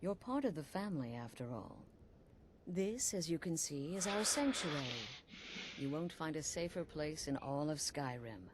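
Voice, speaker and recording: An actress voicing a cool-headed female leader of an assassin guild in a video game, speaking softly and slipping into whispers. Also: low voice, big pitch swings, normal speed, noisy background. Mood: smooth, welcoming. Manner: soft, intimate, dropping to whispers.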